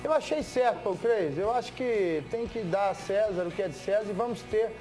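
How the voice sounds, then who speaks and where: A man speaks as a studio sports pundit, putting his opinions firmly. His voice is quite high, with light music in the background. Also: lively intonation, a little fast, darkish tone, low-quality recording. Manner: firm, opinionated.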